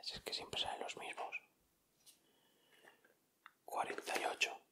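A man with an accent from Spain, speaking in a breathy, unvoiced whisper as he gives casual ASMR commentary. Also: a little slow. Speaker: ASMR video host. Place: indoors at a table.

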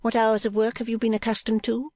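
A narrator voicing a haughty, pouting middle-aged businesswoman wife, imperious and sharp-tongued, in an audiobook. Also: medium pitch, little pitch movement, no room sound, pitched above the performer's own voice, lightly acted. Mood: brisk, imperious. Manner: imperious, brisk, put-upon.

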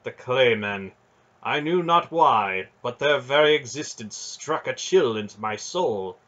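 A brooding, noble knight, played by a man reading a fantasy storybook, speaks in grave, archaic phrases with a husky edge. His voice rises and falls with feeling, and the recording is dull and lo-fi. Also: medium pitch, lively intonation, a little slow, fairly bright tone. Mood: troubled, solemn. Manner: archaic courtly speech, earnest and declaiming. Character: brooding noble male knight, formal and solemn.

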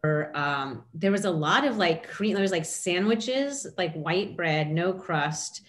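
A middle-aged woman with a somewhat low voice hosts a relaxed chat over a video call. She talks in a chatty, animated way, piling up asides and quoted remarks. The sound is webcam audio from a room at home. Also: slow. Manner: chatty, animated, gestures while talking. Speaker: host.

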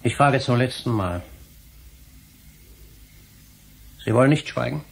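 Gravelly, guilt-ridden male scientist. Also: mid-range voice, animated, fairly quick. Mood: grimly determined. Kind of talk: German radio drama acting.

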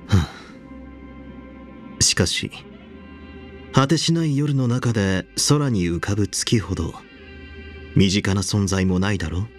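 Man acting deep, slow, wistful warrior general. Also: faint music behind, lightly acted. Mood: wistful, measured. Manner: measured, reflective, sighing. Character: calm, wistful, dignified male warrior general. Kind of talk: scripted game character voice lines.

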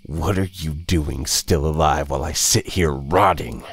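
Undead brother played by a male narrator, very deep, husky, sneering, accusing, horror storytelling, faint music. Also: animated, slow, exaggerated voice. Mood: bitter, accusing. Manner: sneering, mocking. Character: rotting corpse of a dead brother, bitter, accusing.

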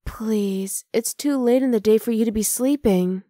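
A woman's voice: on the high side, moderate pace, dark tone, no room sound, lightly acted. Close, dry, teasing half-dragon girlfriend voiced by a woman. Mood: coaxing, teasing. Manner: casual, coaxing, affectionate teasing. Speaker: ASMR roleplay voice actor. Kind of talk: ASMR roleplay acting.

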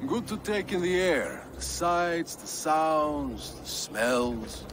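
An actor playing a menacing, smug middle-aged villain in a video game, theatrical and mock-gentle as he relishes each word. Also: on the high side, animated, takes it slowly, background noise. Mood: mock-gentle menace. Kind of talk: video game cutscene acting.

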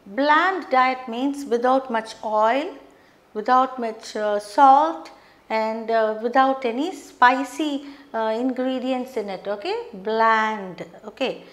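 Lady teaching at a whiteboard, high voice, talks slow, lots of okay. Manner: explains slowly, checks with frequent okay. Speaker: English teacher. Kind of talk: whiteboard English lesson. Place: studio classroom with whiteboard.

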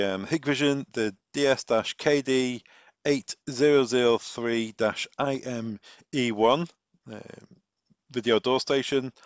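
A man's voice: mid-range voice, clear, resonant tone, no echo, lo-fi sound. A man presenting a technical tutorial voice-over, giving instructional step-by-step directions. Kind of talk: scripted tutorial voice-over with informal walk-through.

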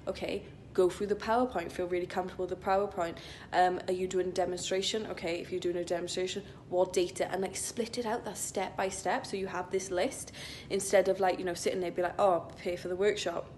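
A young woman vlogs in a chatty, rambling way, with plenty of filler "likes". Her voice sits a little low. It is recorded on a phone at arm's length in a locker room, with faint rumbling noise underneath. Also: a little mellow. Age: young adult. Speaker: vlogger, IT consultant. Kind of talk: casual vlog chat to camera. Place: indoor gym locker room.

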